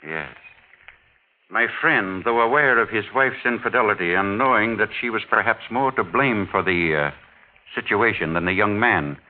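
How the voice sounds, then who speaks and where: Deep-voiced, suave older husband played by an actor. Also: male voice, natural voice. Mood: insinuating. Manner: measured, formal, insinuating, falsely genial. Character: wealthy, older husband, suave, quietly menacing mining engineer. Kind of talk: 1940s radio mystery acting. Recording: muffled, noisy 1940s radio recording.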